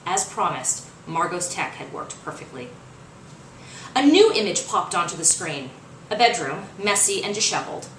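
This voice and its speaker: A woman reading fiction aloud as its author, in expressive, dramatic narration. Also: on the low side, normal speed. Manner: dramatic, acts out dialogue. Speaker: author reading their own novel. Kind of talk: expressive audiobook-style narration. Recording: roomy, distant mic, faint noise.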